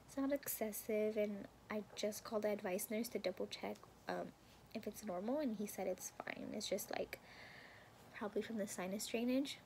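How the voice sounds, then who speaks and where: A woman's voice: medium pitch, normal speed, darkish tone, a little hiss or hum, poor audio. A young woman chatting casually through a personal vlog update on her recovery, rambling with plenty of fillers and sometimes sounding congested. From California. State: congested and recovering after surgery, sometimes whispering. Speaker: vlogger.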